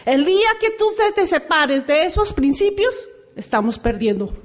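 High-voiced woman urging her group on. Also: sing-song, normal speed. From Colombia. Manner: earnest and exhorting. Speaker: AA meeting member sharing. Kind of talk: reflection at a recovery meeting.

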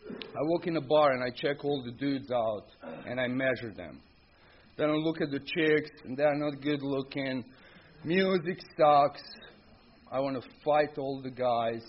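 A middle-aged man with a Croatian accent speaks candidly to a recovery meeting at an ordinary male pitch. He tells his own story in short, blunt, informal sentences. The recording is dull and lo-fi, with clear background noise. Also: measured pace. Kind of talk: personal recovery testimony, informal spoken storytelling. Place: live talk at a recovery meeting.

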